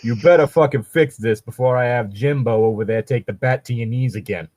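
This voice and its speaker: A man playing a menacing mob boss griping in gruff, threatening gangster talk, in a comedic improvised bit. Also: medium pitch, a little fast, a little mellow, no room sound, lightly acted. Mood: threatening, irritated.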